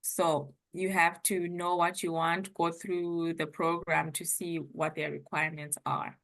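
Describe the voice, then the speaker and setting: A woman's voice: lowish voice, flat delivery, unhurried, vocal fry, a bit bright, poor audio. A young woman from Zimbabwe, a former international student, chatting casually and hedging her points with frequent laughs.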